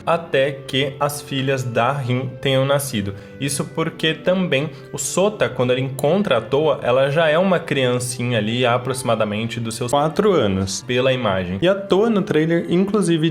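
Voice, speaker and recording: Brazilian man explaining, music behind. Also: medium pitch. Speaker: YouTube channel host. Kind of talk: explanatory fan commentary addressed to viewers.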